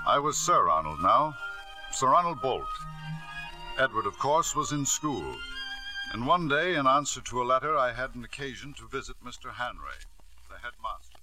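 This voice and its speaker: An actor playing a ruthless self-made millionaire father, narrating and acting in a 1940s radio drama with a crisp, sardonic delivery. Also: medium pitch, animated, moderate pace, sharp tone, faint music behind, low-quality recording. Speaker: narrator and lead character. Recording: dry old radio broadcast.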